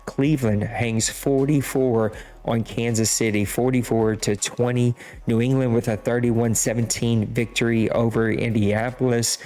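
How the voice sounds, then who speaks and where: A man's voice: medium pitch, flat delivery, normal speed, dark tone, low-quality recording. A man with a Cajun accent hosting a podcast, casually recapping scores in a rambling, self-deprecating way. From Louisiana. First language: Cajun French. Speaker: podcast host.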